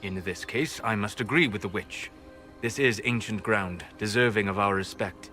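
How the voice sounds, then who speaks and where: An actor playing a solemn elven scholar in a fantasy game, speaking slowly in a grave, measured way. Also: male voice, on the low side, pushed and bright, soft background music.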